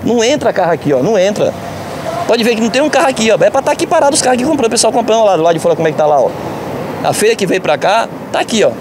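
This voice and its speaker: A man's voice: sits high, very animated, racing. A middle-aged man, complaining emphatically and repetitively in an outdoor street interview. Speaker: market vendor interviewee. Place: open-air market. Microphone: handheld reporter microphone. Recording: clear outdoor street noise.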